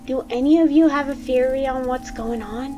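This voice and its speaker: A woman puts on an upbeat young monster kid for an audio drama. The voice is high and casual, laid-back and slangy, over light music. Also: moderate pace, close to an everyday voice. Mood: puzzled. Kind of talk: audio drama character acting.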